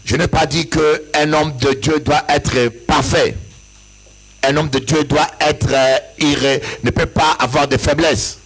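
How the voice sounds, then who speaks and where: A bishop from Africa giving an impassioned church sermon, his voice rising in sing-song peaks and breaking into shouts. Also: fairly high pitch, fairly even intonation, takes it slowly, breathy edge, soft background music, poor audio. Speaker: bishop and pastor. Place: church service with an audience.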